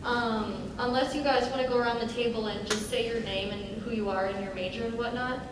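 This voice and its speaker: A woman's voice: on the high side, fairly even intonation, a little fast. A young adult woman, a student writer, speaking informally to people from a stage. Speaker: student writer introducing the panel. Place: on stage in a large room. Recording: echoey, distant, crowd and clear noise.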